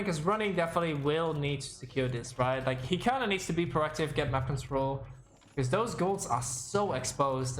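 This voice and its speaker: Casual, rambling game caster in an echoey room. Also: medium pitch. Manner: rambling, thinking aloud, self-correcting. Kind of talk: casual live esports commentary, chatting with viewers.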